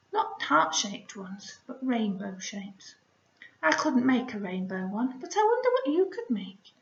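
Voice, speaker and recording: Slow, very animated woman storyteller. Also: fairly high pitch, gravelly. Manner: encouraging, expressive, asks children questions. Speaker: primary school teacher reading a story. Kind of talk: children's storytelling, explaining to young children. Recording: roomy, slightly dull home recording.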